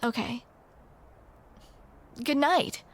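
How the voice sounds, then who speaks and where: A woman's voice: high-pitched, extremely slow. A young woman performing a scripted romantic roleplay to the listener, with playful, teasing banter.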